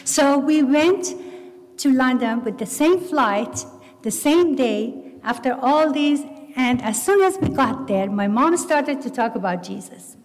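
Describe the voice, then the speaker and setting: A middle-aged woman with a Persian accent, whose first language is Farsi, earnestly telling her personal faith story with halting restarts. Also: high voice, lively intonation. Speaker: testimony speaker. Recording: long hall reverb.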